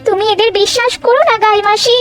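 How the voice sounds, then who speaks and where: Woman as a high, nervous cartoon dog. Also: fairly even intonation, fast talker. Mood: distrustful. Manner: stammering, repeating words. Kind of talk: cartoon voice acting. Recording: music behind the voice.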